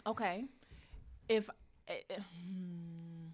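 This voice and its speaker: A woman's voice: lowish voice, steady tone. Blunt, emphatic woman in her forties. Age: 40s. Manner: blunt, emphatic, quick to laugh. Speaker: podcast co-host. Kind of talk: casual chat.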